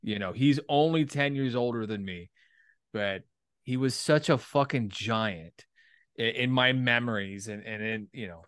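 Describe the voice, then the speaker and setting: Male, thirties, mid-pitched, reflective and halting casual talker with salty language, dry recording. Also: fairly bright tone, no echo. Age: 30s. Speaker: writer and performer, military veteran. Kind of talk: casual creative discussion.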